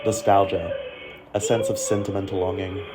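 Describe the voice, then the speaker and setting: A man's voice: deep voice, little pitch movement, normal speed, loud music behind the voice. A young man from Malaysia narrating a documentary in an earnest, introspective voice. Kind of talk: reflective scripted narration.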